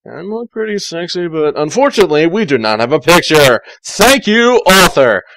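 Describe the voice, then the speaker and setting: A man's voice: on the high side, sing-song, normal speed, fairly bright tone, dry recording, voice pushed up, poor audio. Man as a smug young female cartoon fox.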